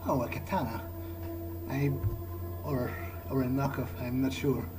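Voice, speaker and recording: Slow-paced, halting male gamer over music. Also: medium pitch, steady tone. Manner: hesitant, halting, thinking aloud in short phrases. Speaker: gamer playing in character. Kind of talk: in-character video game playthrough commentary. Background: game music.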